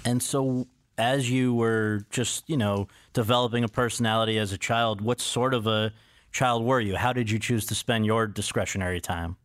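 A man's voice: on the low side, fairly even intonation, moderate pace. A man hosting an interview podcast, recorded in an office. He moves from a scripted introduction into easy, conversational questions. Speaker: podcast host.

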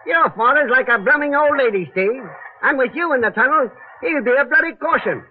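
An actor in a 1940s radio drama playing a teasing, easygoing Cockney tunnel worker, jocular and full of British slang. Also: male voice, very high voice, animated, a little fast. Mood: mocking. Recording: muffled 1940s radio with faint noise.

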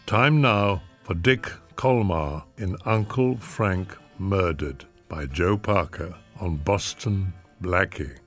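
A man hosting a radio drama series, reading a scripted introduction in a measured, theatrical manner. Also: low-pitched, over music.

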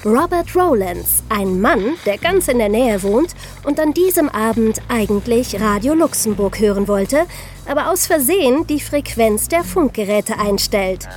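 A woman co-hosting a podcast, moving between storytelling narration and light conversational talk. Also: fairly high pitch. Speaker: podcast co-host.

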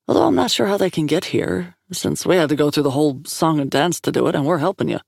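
A woman's voice: low voice, expressive, fast talker, vocal fry, darkish tone, husky, no room sound, studio quality, heavily acted. Lady reader doing a cocky sarcastic dude in a story, blustery, kinda mouthy. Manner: sardonic, sputtering. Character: brash sarcastic man, blustering and irreverent teammate.

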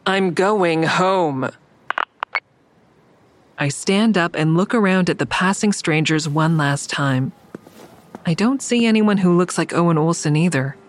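A woman's voice: fairly low pitch, measured pace, pauses often, a little hiss or hum, natural voice. Audio drama lead for an actress: woman, blunt, wry, tired but defiant first-person narrator. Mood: impatient. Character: weary, defiant woman narrating her own thriller.

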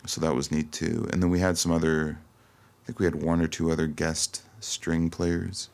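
Male interview guest, extremely low and gravelly, thoughtful and halting, conversational. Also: flat delivery, a little mellow. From Toronto, Canada. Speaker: singer-songwriter guest. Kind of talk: casual interview chat.

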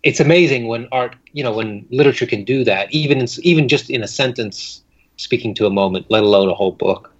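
A man speaking as a writer being interviewed, thoughtful and halting, with frequent reflective pauses. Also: mid-range voice, husky. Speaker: guest fiction writer. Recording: dull lo-fi recording.

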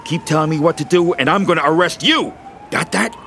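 Touchy, bossy, forceful male cop. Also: on the high side, expressive, fairly quick, gravelly, a little hiss or hum, heavily acted. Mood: irritated. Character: touchy, bossy Italian male police officer. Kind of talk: scripted audio drama acting.

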